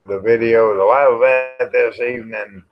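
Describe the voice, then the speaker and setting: A man rambles casually to livestream viewers in a folksy, grumbling way, pausing often. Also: mid-range voice, fairly even intonation. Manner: folksy, grumbling, drawn-out with pauses. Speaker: livestream host. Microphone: small microphone near the face. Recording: dull, muffled livestream audio.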